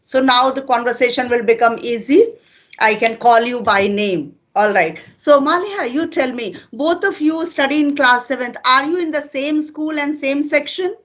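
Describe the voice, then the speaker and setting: A woman's voice: high voice, moderate pace, fairly bright tone. An older woman with an Indian accent whose first language is Hindi, a retired teacher chatting in a patient, teacherly way with fillers. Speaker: retired teacher and channel host. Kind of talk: casual interview conversation.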